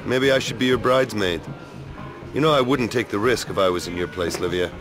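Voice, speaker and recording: A man's voice: on the low side, a little fast, over music, close to an everyday voice. An actor playing a cynical, hard-drinking space prospector in a video game, with a sardonic, world-weary tough-guy manner. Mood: scornful, mocking. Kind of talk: video game cutscene acting.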